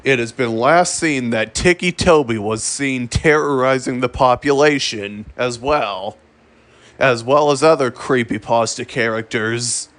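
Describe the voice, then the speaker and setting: A man narrating a scripted emergency broadcast in a formal, solemn and deliberate manner. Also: mid-range voice, fairly bright tone. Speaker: emergency alert announcer.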